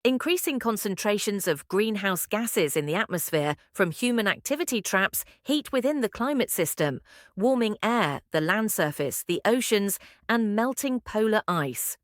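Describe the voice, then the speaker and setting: Lively-pitched woman reading aloud. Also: dry recording, clean studio sound. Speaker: exam practice narrator. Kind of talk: scripted read-aloud narration.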